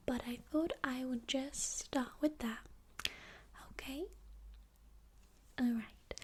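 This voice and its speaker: A woman doing an ASMR role-play, gently alternating between soft speaking and breathy whispers, often checking in with a soft "okay?". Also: high-pitched. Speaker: ASMR creator.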